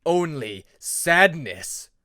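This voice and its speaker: Man voicing a slow, menacing shadow dragon. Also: fairly high pitch, big pitch swings, sharp tone, no echo, clean studio sound, exaggerated voice. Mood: menacing, taunting. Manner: drawn-out, ominous delivery.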